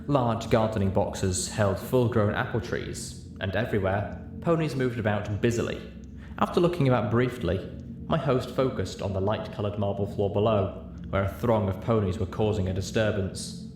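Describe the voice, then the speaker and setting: A man reading a story aloud as narrator, in an expressive scripted style. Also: on the low side, normal speed. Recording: roomy, clearly noisy home recording.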